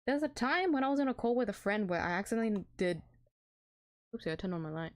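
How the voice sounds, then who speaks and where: A woman's voice: medium pitch, expressive, quick pace. An Australian woman chatting casually while streaming a game from home, giggly and self-deprecating, often tripping over her words. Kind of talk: casual let's-play commentary. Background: faint background music.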